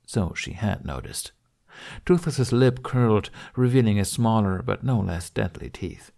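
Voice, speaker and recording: A male narrator reads a fantasy audiobook. His voice sits somewhat low, and he delivers scripted storytelling prose. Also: unhurried, vocal fry, warm tone.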